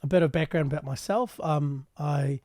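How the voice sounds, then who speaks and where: A man translating and explaining a news clip in a casual, halting way with many pauses. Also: a little high, fairly even intonation, warm tone, no echo, studio quality. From New Zealand. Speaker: translator and commentator.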